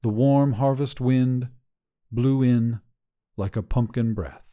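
A man's voice: mid-range voice, steady tone, takes it slowly, dark tone. A man reading scripted short fiction as the narrator. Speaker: story narrator.

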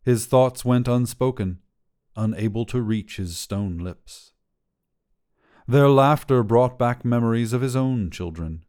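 A man reading a short story as its narrator, with a measured, literary storytelling delivery. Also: mid-range voice, darkish tone. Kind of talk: scripted fiction narration, storytelling.

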